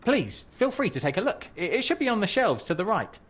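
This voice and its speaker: A man's voice: high-pitched, a little fast, full character voice. A man playing an eager, nervous, bookish fellow who speaks politely and hesitantly in a video game. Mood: eager, helpful. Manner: hesitant, stammering, courteous, excitable. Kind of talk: scripted video game cutscene acting.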